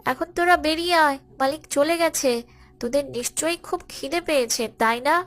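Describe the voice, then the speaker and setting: High-voiced fretful mother hen played by a woman. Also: a little fast, a bit bright. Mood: fretful, caring. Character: anxious, protective mother hen, scolding but soft-hearted. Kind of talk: children's cartoon voice acting.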